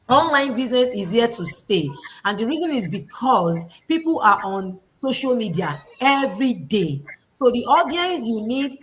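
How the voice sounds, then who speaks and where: A woman's voice: on the high side, a bit bright, low-quality recording. Female host, motivational live-stream speaker who drives points home by repeating them. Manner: preachy, repeats key phrases for emphasis. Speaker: host of a live online business talk. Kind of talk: motivational talk on business strategy.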